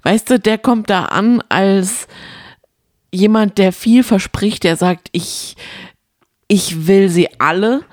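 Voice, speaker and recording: A woman's voice: medium pitch, takes it slowly, darkish tone, dry recording, studio quality. A young woman co-hosting a podcast, chatting casually and telling stories in everyday speech. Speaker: co-host of a reality TV podcast. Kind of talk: casual chat, telling anecdotes.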